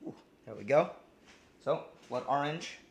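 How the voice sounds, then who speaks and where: A man's voice: fairly high pitch, animated, a little slow, vocal fry, noisy background. A man from New Jersey hosting a live cooking stream, talking casually and rambling with lots of ums and restarts. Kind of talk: casual live cooking demo, reading chat.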